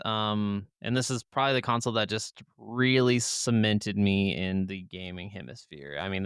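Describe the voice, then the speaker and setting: A man's voice: fairly low pitch, fairly bright tone, clear, resonant tone, no room sound, studio quality. A man in his thirties co-hosting a podcast in casual conversation. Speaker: podcast co-host. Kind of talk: casual chat.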